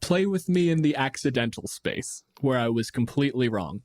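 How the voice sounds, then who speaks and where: A man's voice: medium pitch, animated, normal speed, darkish tone. A man co-hosting a podcast, chatting casually about tabletop games in a blunt, profane, joking way. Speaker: podcast host.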